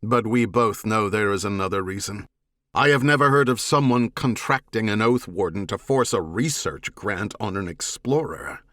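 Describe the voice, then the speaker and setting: A male narrator becomes a stern alien warrior lord in a science fiction audiobook. The low voice is commanding and suspicious, with clipped, menacing authority, in a very dry recording. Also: moderate pace, fairly bright tone, dry recording, close to an everyday voice. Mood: skeptical.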